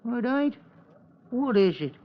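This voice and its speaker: A man's voice: very high-pitched, big pitch swings, slow pace, heavily acted. An actor playing a grumbling, indignant working-class London man in a 1950s radio drama. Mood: surprised. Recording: muffled, noisy vintage radio recording.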